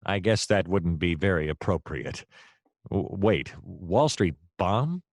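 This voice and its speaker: A hapless American private eye from a noir radio play, acted by a man, with a deep voice that swings widely in pitch. He is wry and deadpan, easily flustered, and recorded close and dry. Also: takes it slowly, no room sound, full character voice. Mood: exasperated, sardonic. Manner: wry, deadpan narration, hesitant and flustered in dialogue. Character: hapless, wry male private detective narrating his case. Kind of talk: noir detective narration and dialogue in an audio play.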